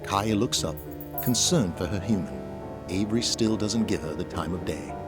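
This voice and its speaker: A man's voice: low voice, moderate pace. A man giving dramatic scripted narration as the narrator of an audio drama. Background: music.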